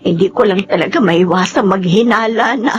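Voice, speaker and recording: A woman plays an older Filipino grandmother in a radio drama, full of suspicion and disapproval. She grumbles in a gossipy way at an ordinary pitch, over faint music. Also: normal speed, muffled recording, lightly acted. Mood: disapproving.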